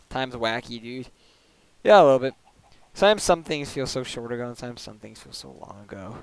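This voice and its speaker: A young man talks loosely while he plays, hopping between thoughts and padding everything with "like" and small laughs. His voice is smooth and clean, sitting in an ordinary middle pitch. It sounds like a desk microphone at home. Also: expressive, no room sound. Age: young adult. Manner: rambling, full of "like" fillers, quick laughs. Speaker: streamer. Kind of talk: casual chat while gaming.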